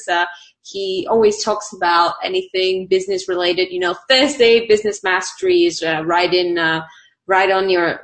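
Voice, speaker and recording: A woman's voice: medium pitch, fairly bright tone. A woman from Bulgaria with a Bulgarian accent, chatting casually in an interview, bubbly and laughing often between fillers. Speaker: online community manager.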